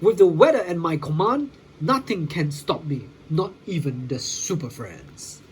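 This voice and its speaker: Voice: mid-range voice, big pitch swings, unhurried, a little hiss or hum. A reader voicing an icy, boastful male supervillain who taunts and commands in a children's storybook. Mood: gloating.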